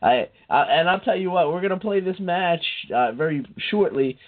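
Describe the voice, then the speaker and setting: A man's voice: high-pitched, expressive, moderate pace. A man with a head cold and stuffy, congested voice, chatting as a radio show host. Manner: chatty, repeats himself, addresses co-host by name. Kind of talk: casual wrestling radio talk. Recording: tinny phone-like line.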